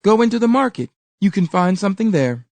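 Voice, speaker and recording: A woman's voice: mid-range voice, big pitch swings, a little fast, a little mellow, no echo, voice pushed up. A woman voicing a clever, sweet-spoken village girl from a storybook, kind but firm as she instructs. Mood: polite, direct.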